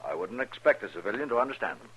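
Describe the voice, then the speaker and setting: An actor playing a stubborn, stiff army major, formal and clipped, in a radio western. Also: male voice, medium pitch, animated, very fast, a bit bright, husky. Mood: defiant. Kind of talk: 1950s radio western acting. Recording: muffled old radio recording.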